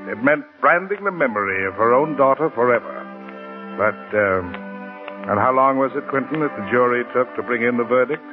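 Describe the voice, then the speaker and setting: An actor playing a reflective defense lawyer recounting a case in measured, wistful 1940s radio drama dialogue. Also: male voice, medium pitch, animated, lightly acted. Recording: narrowband 1940s radio recording with music.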